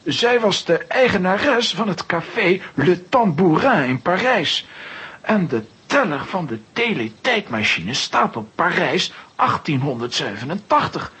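High, raspy, flustered professor. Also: male voice, very animated, unhurried, sharp tone, exaggerated voice. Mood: agitated. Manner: flustered, exclamatory. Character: fussy, agitated, nervous professor. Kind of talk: children's audio drama acting.

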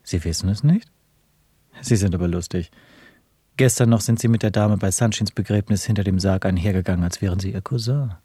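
An actor playing a smug, wealthy, worldly dandy in a radio drama, suave and condescending. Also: male voice, fairly low pitch, fairly quick, dark tone, breathy edge, dry recording. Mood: teasing.

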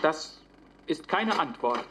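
A man's voice: a little high, sing-song, slow pace, a crowd in the background. A man playing a film actor as a mocking count, with formal, insinuating, theatrical delivery in a radio play. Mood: mocking, menacing. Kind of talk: theatrical 1930s film dialogue.

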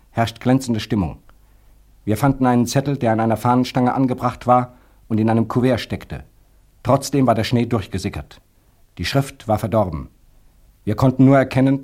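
An actor playing a rescue expedition officer in a radio play, formally reading out a log in a clipped, official manner. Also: male voice, medium pitch, darkish tone, natural voice. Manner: clipped, matter-of-fact, official.

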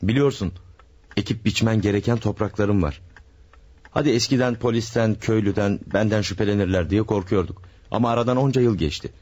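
A man playing a husky, smooth-talking killer husband. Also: lowish voice, brisk, a little mellow, close to an everyday voice. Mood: soothing. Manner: soothing, reassuring, smooth-talking. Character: scheming farmer husband, secretly a killer. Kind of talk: classic radio drama acting.

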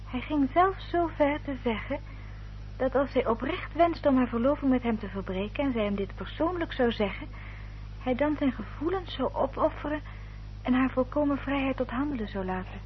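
Woman acting a firm, guarded victorian lady in an old radio play, measured and proper, dull sound with faint noise. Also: high-pitched, close to an everyday voice. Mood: earnest, firm. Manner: measured, formal, articulate. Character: resolute, guarded Victorian gentlewoman, protective of her sister. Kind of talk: Dutch radio drama acting and narration.

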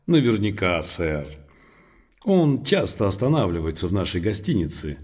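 An actor playing a polite, helpful hotel clerk in an audio drama, speaking with assured deference. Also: male voice, deep voice, big pitch swings, moderate pace, a little mellow. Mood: obliging, assured.

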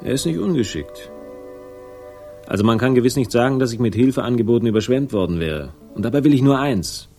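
A man's voice: medium pitch, lively intonation, brisk, warm tone, close to an everyday voice. An actor playing a laconic, ironic investigator who narrates his case in a 1960s German crime radio drama. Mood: guarded, sardonic. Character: wry, hard-boiled male investigator narrating his case. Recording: music behind the voice.

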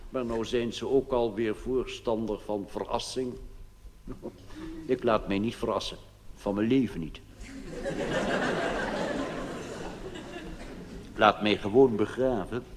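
A man's voice: fairly low pitch, steady tone, normal speed, natural voice. A man performing a comic stage monologue as an old-fashioned elderly churchgoer who rambles hesitantly and chattily about his youth to the youngsters. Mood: stubborn, grumbling. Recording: reverberant hall with faint background noise.

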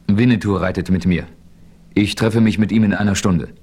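Ein Mann spielt einen ruhigen, tiefstimmigen Westmann. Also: male voice, on the low side, fairly even intonation, a little mellow, close to an everyday voice. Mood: calm, resolute. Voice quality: measured, composed delivery. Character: steady heroic male frontiersman, calm narrator. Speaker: first-person narrator and hero. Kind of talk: 1970s German radio play acting.

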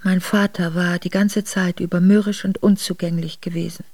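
An actress playing a grieving Russian princess who narrates her memoirs in a radio drama, measured and solemn. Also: lowish voice, level tone, darkish tone, no echo. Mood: sorrowful, restrained.

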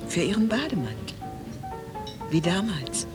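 A woman's voice: lowish voice, very animated, measured pace, music in the background. An actress playing a gracious, mysterious, nostalgic older lady in a German radio drama, speaking formally. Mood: wistful.

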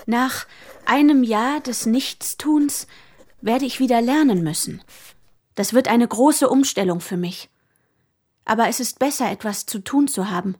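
An earnest young female student in a radio play, reading her letters aloud. The voice is fairly high and distinctly husky, with a bookish seriousness. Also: measured pace, darkish tone. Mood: earnest, wistful.

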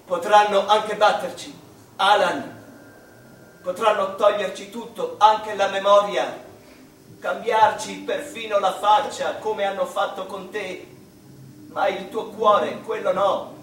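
An actor playing an anguished, defiant mathematician in a theatrical, declamatory stage monologue, with deep emotion. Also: male voice, fairly even intonation, takes it slowly, fairly bright tone. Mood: tender resolve. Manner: declamatory, emotional, dramatic delivery. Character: anguished male mathematician, defiant, tender and grieving. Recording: very echoey, distant stage recording, faint noise.

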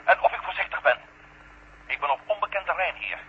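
An actor playing a wry, determined astronaut alone on the moon in a 1970 science fiction radio drama, reporting in short bursts. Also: male voice, fairly high pitch, sing-song, fairly quick. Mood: tense, focused. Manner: narrates his own actions in short bursts. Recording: muffled, very noisy old radio recording.

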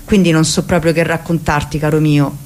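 A woman's voice: deep voice, little pitch movement, fairly quick, darkish tone, a little hiss or hum. A woman giving an impassioned, declamatory reading of a book for the radio. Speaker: reader. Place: radio studio.

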